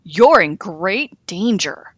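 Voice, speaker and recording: Woman as a boastful, excitable storybook goose. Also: medium pitch, takes it slowly, husky, dry recording, muffled recording, heavily acted. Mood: earnest, scheming. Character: boastful, stubborn, quick-thinking storybook goose.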